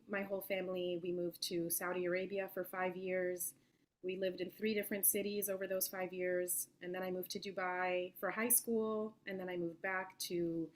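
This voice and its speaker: Earnest woman journalist answering an interview. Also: mid-range voice. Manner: articulate and earnest, with soft fillers. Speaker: guest journalist.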